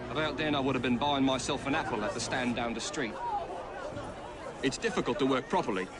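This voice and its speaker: An actor playing a plain, matter-of-fact labourer, giving witness dialogue in a game. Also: male voice, mid-range voice, a little fast, close to an everyday voice. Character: plain-spoken male labourer, resentful but cooperative witness. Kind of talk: acted video game cutscene dialogue. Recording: very noisy outdoor crowd ambience.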